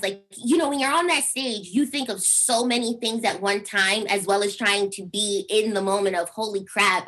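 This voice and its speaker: A young woman with a squeaky speaking voice, a singer chatting over a video call and telling stories with lively acted-out quotes. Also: poor audio. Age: young adult. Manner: animated storytelling, acts out quotes with big pitch jumps. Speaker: singer guest. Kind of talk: casual interview chat.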